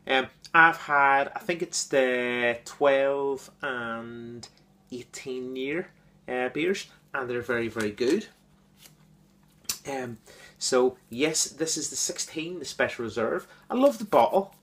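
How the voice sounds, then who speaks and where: A man giving an enthusiastic, casual beer review, repeating words and filling pauses with ums. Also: mid-range voice, a bit bright.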